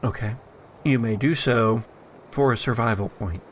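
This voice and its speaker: A man narrating a tabletop horror game as game master, in deliberate phrases with pauses between them. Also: medium pitch, a little hiss or hum.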